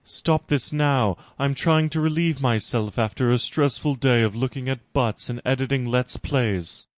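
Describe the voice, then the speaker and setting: A man's voice: mid-range voice, a little slow, dry recording, pitched above the performer's own voice, lightly acted. A man voicing an indignant, earnest queen, a strong woman, with a formal, declamatory manner in a parody story.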